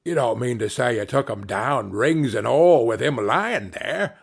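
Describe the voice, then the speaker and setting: A man voicing a shrewd old male pawn dealer who haggles slowly. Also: mid-range voice, lively intonation, creaky, breathy edge, full character voice. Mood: wry.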